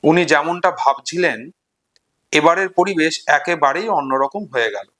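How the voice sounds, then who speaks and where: A man giving an informal literary lecture and retelling stories, in deliberate, measured phrases broken into short segments. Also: a little high, a bit bright. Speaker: literature explainer and presenter.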